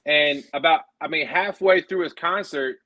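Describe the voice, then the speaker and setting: A man's voice: on the high side, moderate pace, sharp tone, dry recording. A man from Colorado chatting casually and reading out viewer comments. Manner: laughs easily, explains things. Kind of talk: casual chat.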